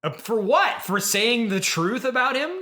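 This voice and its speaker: A man hosting an improvised comedy podcast interview, halting and apologetic at times, needling at others. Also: extremely high pitch, lively intonation, bright, forceful tone.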